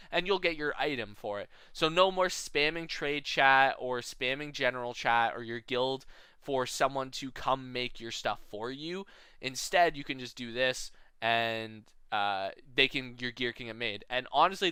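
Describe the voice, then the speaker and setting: A man's voice: mid-range voice, bright, forceful tone, clean tone, dry recording. A man giving a casual, informal explainer as commentary for a gaming video. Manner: explanatory, informal, often checking with "right?". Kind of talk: casual explainer commentary over game announcement images.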